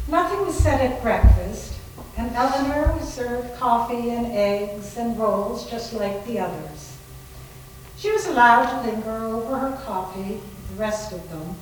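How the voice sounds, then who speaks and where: A woman narrating a scripted story for a live dramatic reading. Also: on the high side. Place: large library room, live staged reading. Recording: very echoey, distant, noisy room recording.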